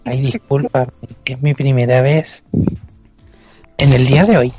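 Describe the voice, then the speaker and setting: A man's voice: medium pitch, a little slow, a little mellow, slightly rough, dry recording, faint music behind. A man voicing a coy, forward young churchgoing woman with a Chilean accent in an improvised comedy radio play. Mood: coy, casual.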